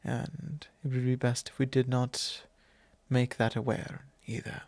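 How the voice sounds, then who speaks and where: Man acting as a tender vampire lord, ASMR roleplay, very slow formal speech, solemn, confiding, close dry mic. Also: mid-range voice, flat delivery, no echo, close to an everyday voice. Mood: apologetic, confiding. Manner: measured, formal, hesitant phrasing with soft breathy drops. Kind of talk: ASMR roleplay, soft intimate monologue. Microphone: close microphone.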